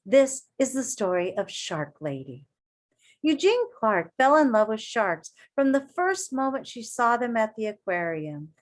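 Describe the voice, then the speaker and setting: Female teacher, higher-pitched voice, very animated intonation, children's read-aloud, questioning asides to young listeners. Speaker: elementary school teacher reading aloud.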